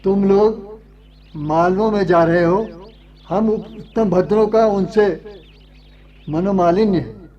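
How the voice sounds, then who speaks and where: A man's voice: high voice, slow, long pauses. An actor playing a fatherly, anxious king in a radio play, speaking in a stately, formal manner on a dull, lo-fi recording. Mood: troubled. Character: fatherly, anxious king blessing departing monks.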